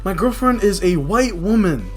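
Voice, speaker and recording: A man's voice: high-pitched, animated, normal speed. A young man from New City, talking casually and candidly in slangy, conversational livestream chat. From New York City. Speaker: game livestreamer. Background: music.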